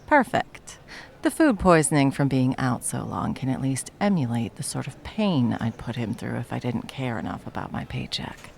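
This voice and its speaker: A performer playing a jaded, sarcastic cafe baker with a villainous side, speaking in a dry, menacing deadpan for a comedy audio roleplay. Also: medium pitch, normal speed, gravelly, slight background noise. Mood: sarcastic annoyance. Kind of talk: ASMR comedy roleplay acting.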